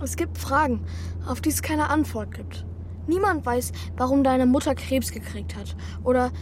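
A man's voice: very high-pitched, normal speed, a little hiss or hum. A young boy actor playing a thoughtful, determined boy who narrates his adventure in a German children's radio play. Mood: earnest, reassuring. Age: child or young teen boy. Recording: music behind the voice.

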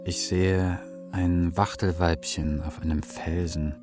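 A mystical eagle in a children's radio drama, solemn and detached. The voice is deep and unhurried, reporting in sparse, measured statements over prominent music. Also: male voice, little pitch movement. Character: male eagle spirit, solemn, trance-like seer.

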